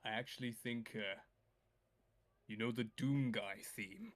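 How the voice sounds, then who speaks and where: Cocky, playful man of medium pitch. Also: measured pace. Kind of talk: casual joking banter on a group stream. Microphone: voice call microphone.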